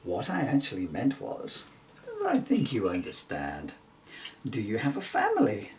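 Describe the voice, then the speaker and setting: Man putting on a curious, animated young girl. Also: lowish voice, unhurried, far from the mic, a little hiss or hum, voice pushed down. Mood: curious, teasing. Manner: polite, excitable. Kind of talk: children's storybook character reading.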